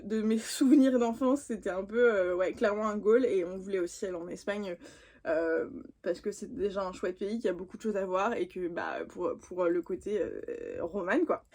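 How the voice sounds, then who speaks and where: A young adult woman from France, chatting informally and using slang in a casual makeup vlog. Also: medium pitch. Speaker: vlogger.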